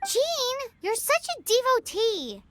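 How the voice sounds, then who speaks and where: A tiny excitable fairy companion in a game dub, with a very high, sing-song voice that leaps about. She is blunt, sassy and exclaims a lot. Clear music plays under her lines. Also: slow pace, full character voice. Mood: teasing. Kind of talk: video game dub acting.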